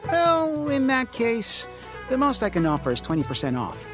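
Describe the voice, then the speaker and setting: A woman's voice: a little high, huge pitch swings, moderate pace. A woman voicing a friendly, easygoing food stall vendor with polite patter in a fan dub of a video game. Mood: accommodating. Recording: amateur recording with music behind.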